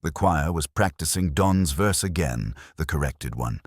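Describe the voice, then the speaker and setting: A man playing a brooding, melancholy police detective, narrating his story in a measured, solemn, literary manner. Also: a bass voice, expressive, breathy edge, no echo, clean studio sound, exaggerated voice. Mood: brooding, reflective.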